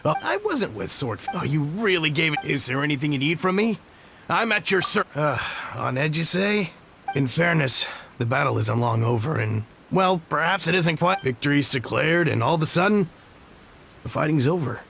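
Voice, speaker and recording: A man playing an excitable, deferential rank-and-file soldier in a video game, eager and a little hesitant. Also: a little high, moderate pace, gravelly, a little hiss or hum, full character voice. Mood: eager, reflective.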